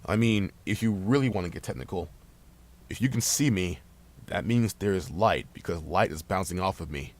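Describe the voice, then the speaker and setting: A man's voice: deep voice, moderate pace, lightly acted. A man playing a grieving woman's mind as a blunt, exasperated, sweary inner voice in an audio drama, confronting her with pointed questions. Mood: subdued, reasoning. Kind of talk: amateur audio drama acting.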